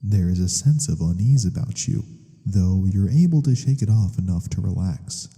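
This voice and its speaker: A man softly narrating a fantasy ASMR story in the second person, as scripted narration. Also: deep voice, animated, moderate pace, gravelly, long reverb tail.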